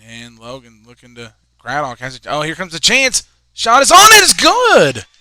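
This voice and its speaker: A man in his twenties calling a high school soccer game, chatting in rambling asides between plays. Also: huge pitch swings, moderate pace, pushed and bright, dry recording. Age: 20s. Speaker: play-by-play commentator.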